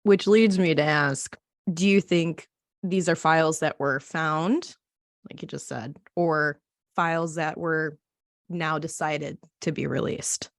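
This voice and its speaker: A woman's voice: deep voice, fairly even intonation, slow, dry recording, studio quality. A woman interviewing a guest on a podcast in a conversational style.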